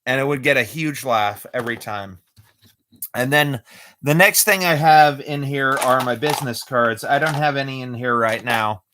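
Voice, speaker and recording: A man's voice: medium pitch, moderate pace, bright, forceful tone. A man chatting casually on a live stream, explaining his magic tricks step by step. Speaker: amateur magician.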